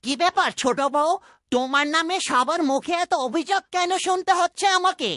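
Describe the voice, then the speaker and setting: A woman's voice: very high voice, fairly quick, fairly bright tone, no echo, studio quality. A woman voicing a stern, reproachful father and head of household in a fairytale cartoon. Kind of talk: children's fairytale cartoon dubbing.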